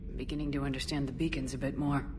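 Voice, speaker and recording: A woman's voice: rumbling low, flat delivery, racing, background noise. A woman voicing a principled, composed military commander in a video game, direct and plain-spoken. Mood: curious, wry. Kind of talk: cinematic video game acting. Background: music.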